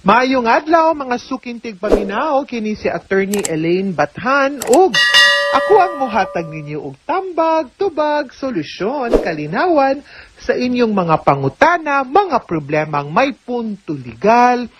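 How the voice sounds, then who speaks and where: A woman's voice: a little high, sing-song, unhurried, background noise. A woman with a Cebuano Filipino accent hosting a radio advice show as an attorney, chattily answering a listener's letter and mixing in English with frequent tag questions.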